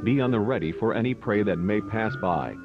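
A man reading scripted instructional narration in a formal, measured way. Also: on the low side, expressive. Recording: dull lo-fi audio, loud music. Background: loud music.

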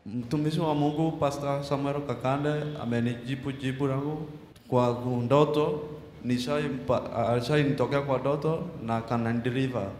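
A man's voice: medium pitch, steady tone, moderate pace, a little mellow. A middle-aged man with a Kenyan accent giving testimony as a congregant, in short segments. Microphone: handheld mic held to his mouth. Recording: echoey noisy hall.

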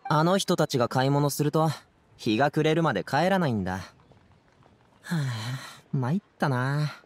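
A male actor plays a friendly young working lad in an anime game. His voice sits fairly high and moves around a lot in pitch, with casual, boyish, chatty phrasing. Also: lively intonation, moderate pace, lightly acted. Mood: uneasy, reluctant.

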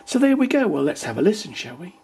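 An older man talking casually and technically about his home loudspeakers, with wry, plain-spoken asides, recorded on a camera's built-in microphone in a living room. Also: high voice, big pitch swings, fast talker, vocal fry, slight background noise. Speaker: hobbyist reviewer.

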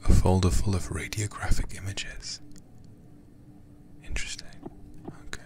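A man streaming a game in ASMR style, reading aloud and commenting in a hushed, intimate way close to the microphone. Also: deep voice, steady tone, music in the background. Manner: hushed, slow and intimate delivery with breathy whispers.

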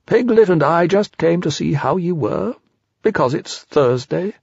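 A man's voice: fairly high pitch, lively intonation, measured pace, vocal fry, a little mellow, slightly rough, dry recording, highs cut off, voice pushed up, exaggerated voice. A man voicing an amiable, bumbling male bear in a storybook, polite and hesitant, rambling along.

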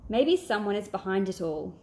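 A woman's voice: medium pitch, animated, moderate pace, mellow, close to an everyday voice. Firm, serious boy detective read by a woman. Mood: suspicious. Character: sceptical, serious boy leader of a child detective club.

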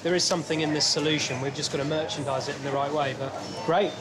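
A man's voice: on the high side, fairly even intonation, fast. A British man in his thirties chatting casually at work in an upbeat, polite manner. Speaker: company boss. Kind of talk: casual workplace chat. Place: inside a busy supermarket. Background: prominent music.

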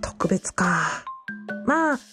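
A woman's voice: fairly low pitch, sing-song, drawn-out words, over music, heavily acted. A woman playing a flustered, earnest young male student in a radio drama, speaking in casual but deferential youthful language.

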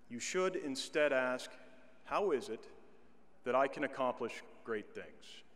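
A middle-aged man delivering a commencement address at a podium, speaking in a measured way with slow, deliberate emphasis. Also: medium pitch, fairly bright tone. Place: large indoor arena stage at a podium. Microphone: podium microphone. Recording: long arena reverb.

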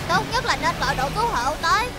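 A woman's voice: very high voice, expressive, fast. A woman voicing a small young talking vehicle in a children's cartoon, timid but helpful. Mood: nervous, determined. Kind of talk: animated children's cartoon dub.

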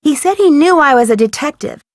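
A young American girl detective, friendly and composed, with a fairly high voice. She explains things earnestly and with confidence in a dry, close studio recording, as in a mystery game. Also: animated, a little fast, no echo. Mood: thoughtful, intrigued. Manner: clear-spoken, earnest, self-assured. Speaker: voice actor. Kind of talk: video game phone dialogue.

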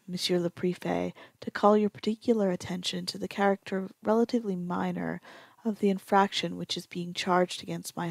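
A woman reading a formal historical letter aloud in a measured, earnest way. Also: lowish voice, creaky, a little mellow, no room sound.

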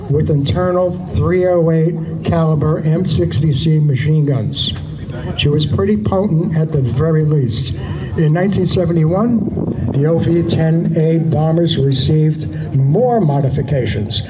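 A man giving a talk in an aircraft hangar, reading prepared notes with asides, halting with many fillers and restarts. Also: a little high. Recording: noisy hangar with vehicle sounds.

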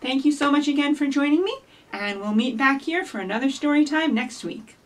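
Middle aged lady doing kids storytime, super up and down voice, simple and animated, mic kinda far away. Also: high voice, a little fast. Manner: animated, simple instructions addressed to young children. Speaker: children's librarian hosting storytime. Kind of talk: children's storytime with rhymes and read-aloud. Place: small indoor office or library room with block walls. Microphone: camera a few feet away. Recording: distant camera microphone.

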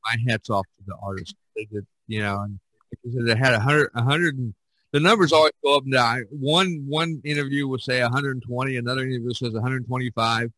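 A man's voice: mid-range voice, fairly quick, pushed and bright, no room sound, low-quality recording. A man hosting a podcast in casual discussion, rambling with many fillers and restarts. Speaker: podcast host.

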